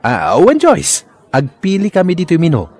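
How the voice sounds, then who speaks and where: A man's voice: a little high, wildly swooping pitch, normal speed, darkish tone. A man with an Ilocano accent playing a sly, cocky, mercenary young man in a comedy drama. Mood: casual, friendly.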